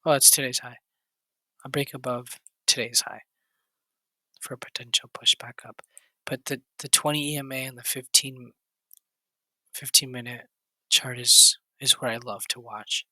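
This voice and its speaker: Raspy-voiced man, flat bright delivery. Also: medium pitch, no echo, low-quality recording. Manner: quick, loose, with fillers and restarts. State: hoarse, recovering voice. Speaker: stock trading channel host. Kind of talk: casual chart walkthrough.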